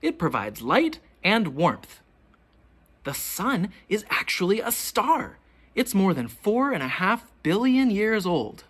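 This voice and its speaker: A teacher reading a children's picture book aloud, with expressive, deliberate questions pitched to young children. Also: husky. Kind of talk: children's storybook read-aloud.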